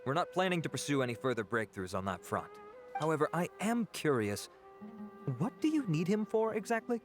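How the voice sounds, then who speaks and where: An actor playing a dutiful law-enforcement officer in a video game, formal and businesslike. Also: male voice, a little high, big pitch swings, a little fast. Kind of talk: scripted video game voice acting. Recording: music behind the voice.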